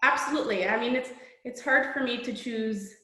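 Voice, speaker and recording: A woman's voice: a little high, fairly quick, bright, forceful tone. A middle-aged woman author, born in Poland, reading her children's book aloud and then answering interview questions in an earnest, reflective way. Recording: echoey room.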